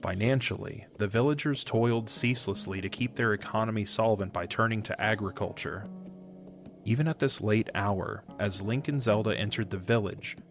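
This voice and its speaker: A man reading scripted narration for a fantasy audio drama in a measured storytelling manner. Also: on the low side.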